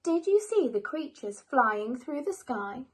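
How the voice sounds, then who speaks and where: A woman's voice: high voice, big pitch swings, unhurried, husky, exaggerated voice. A woman voicing an anxious, dramatic Father Christmas in a children's storybook, emphatic and theatrical. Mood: startled. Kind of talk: children's storybook character reading.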